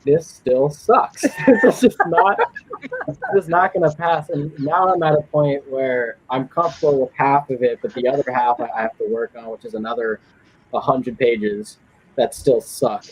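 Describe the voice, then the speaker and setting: A man's voice: mid-range voice, a little mellow, rough recording. A young man, a college student and writer, chatting casually and self-deprecatingly on a video call, laughing often. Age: young adult. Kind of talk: casual chat.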